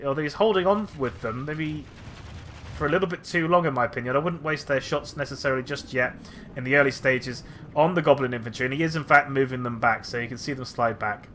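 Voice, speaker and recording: A man's voice: medium pitch, quick pace, bright, forceful tone, a little hiss or hum. A man commentating a strategy game battle replay, slightly nasal from a cold, thinking aloud with frequent fillers.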